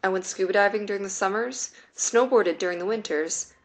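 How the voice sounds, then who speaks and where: A woman in her late twenties from New York City, whose first language was Mandarin Chinese, reading a personal essay aloud in a measured, deliberate way. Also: mid-range voice. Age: late 20s.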